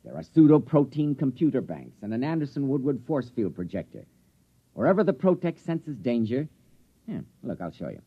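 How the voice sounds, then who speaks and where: Animated, stubborn inventor. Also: male voice, medium pitch, normal speed, husky. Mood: reassuring, proud. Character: stubborn, brisk male inventor proud of his gear. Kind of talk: 1950s radio drama acting. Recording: dull vintage radio recording.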